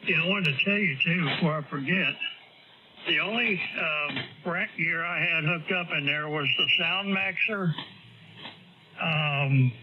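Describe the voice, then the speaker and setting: A man's voice: fairly high pitch, a little slow. A man chatting casually as an amateur radio operator over an on-air radio link. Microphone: amateur radio transmission. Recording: dull amateur radio signal, clear static noise.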